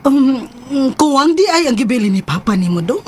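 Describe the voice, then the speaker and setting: A woman playing a patient, supportive mother of a grown son in a radio drama, gentle and consoling. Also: sits high, big pitch swings, normal speed, a little hiss or hum. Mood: interested, pleased.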